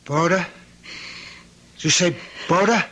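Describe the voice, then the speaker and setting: Radio drama mobster father: middle-aged, higher-set voice, very slow, heavy delivery, noisy old recording. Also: bright, forceful tone. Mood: vengeful fury. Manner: halting, heavy, emphatic. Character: crime-boss father, evasive, brooding, murderous when crossed.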